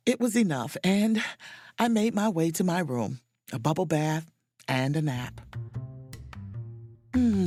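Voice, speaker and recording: An actress playing a wry, self-mocking adventurous officer, narrating and speaking in a first-person audio drama in a confiding, playful way. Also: female voice, sits low, darkish tone, no echo, full character voice.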